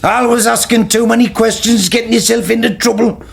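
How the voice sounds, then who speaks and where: An actor playing a blunt, defensive working-class older brother in a radio drama. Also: male voice, extremely high pitch, fairly even intonation, brisk, creaky. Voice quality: blunt, combative.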